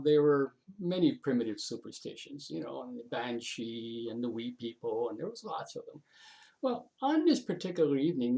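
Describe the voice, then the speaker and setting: A man telling a story informally, in a halting, folksy way with repeats. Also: mid-range voice, mellow. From Canada.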